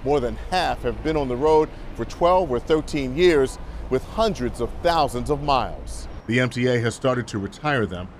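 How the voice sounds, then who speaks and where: A middle-aged man reporting an investigative news story in a measured, emphatic scripted delivery. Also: medium pitch, a little hiss or hum.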